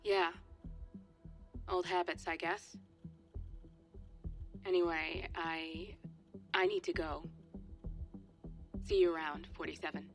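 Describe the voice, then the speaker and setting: A woman's voice: fairly low pitch, steady tone, takes it slowly, pauses often, faint music behind. An actress playing a composed female intelligence contact in a cinematic game, briefing in a matter-of-fact, controlled way. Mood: subdued.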